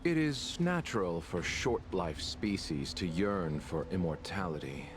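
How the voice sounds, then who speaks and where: An actor playing a polite, scheming male healer in a game, arguing his case calmly and persuasively. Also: low-pitched, unhurried. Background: game music.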